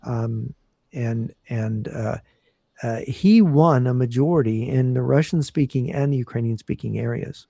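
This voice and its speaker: Podcast guest, male, gravelly and mellow, measured explainer, dry sound. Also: medium pitch, dry recording. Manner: measured, explanatory, many fillers and restarts. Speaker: guest analyst. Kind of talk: casual podcast interview.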